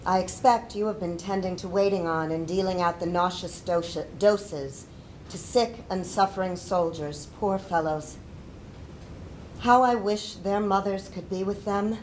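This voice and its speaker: An older woman playing a grieving, devout Civil War mother in a solemn, deliberate dramatic letter reading. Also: mid-range voice, a little mellow, slight background noise, close to an everyday voice, low-quality recording. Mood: tender, anxious. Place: indoor stage before a projection screen.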